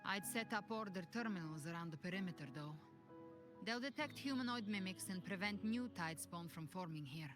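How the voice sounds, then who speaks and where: An actress voicing a capable young woman ally in a game, speaking in a brisk, commanding way. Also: medium pitch, animated, close to an everyday voice. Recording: game audio with music behind.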